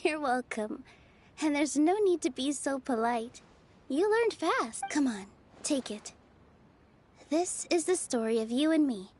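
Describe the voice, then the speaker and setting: A young woman voicing a gentle, kind theater dancer who speaks softly and encouragingly. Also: high voice, expressive, unhurried, a little hiss or hum. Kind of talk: game voice acting. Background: game music.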